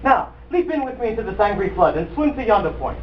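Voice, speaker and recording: A man's voice: sits high, big pitch swings, quick pace. A young man acting a bitter, envious Roman conspirator in a declaimed Shakespearean stage monologue. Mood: intense storytelling. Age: young adult. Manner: theatrical declamation, persuasive. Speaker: acting student. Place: acting studio. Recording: distant mic, muffled, faint noise.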